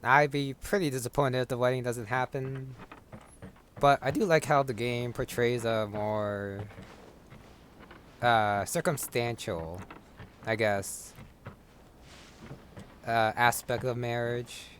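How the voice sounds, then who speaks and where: A man giving casual, thoughtful commentary on a game, pausing as he thinks aloud. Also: mid-range voice, fairly even intonation, a bit bright, a little hiss or hum. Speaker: gamer commenting on his playthrough.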